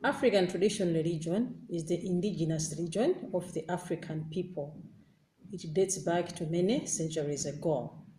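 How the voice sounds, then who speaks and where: A woman from Malawi with a low-pitched voice gives a prepared introduction and asks questions. She stresses key words emphatically, and her voice carries a roomy echo. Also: normal speed, warm tone, slight background noise. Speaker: interfaith dialogue speaker.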